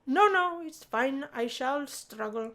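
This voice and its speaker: A woman's voice: high-pitched, expressive, slow pace, a bit bright, full character voice. Visual novel role: strong young guy played by a woman, theatrical, formal, long-suffering. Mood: martyred. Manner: melodramatic, formal phrasing. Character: dramatic, put-upon big strong male student. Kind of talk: visual novel character voicing.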